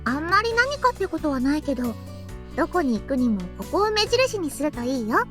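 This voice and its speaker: A woman's voice: high voice, big pitch swings, rapid-fire. A woman voicing a friendly, easygoing girl in a Japanese visual novel. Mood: friendly, casual. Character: friendly, easygoing anime girl guiding a newcomer. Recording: game audio with music over it.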